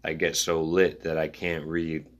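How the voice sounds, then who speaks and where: A man musing aloud in a rambling spoken-word style, reading his own notes in halting fragments. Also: very deep voice, flat delivery.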